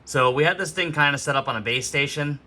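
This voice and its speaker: A man's voice: on the high side, fast, bright, forceful tone. A man hosting an unscripted product walkthrough, explaining things step by step in an instructional way. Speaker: host of a gear demonstration video.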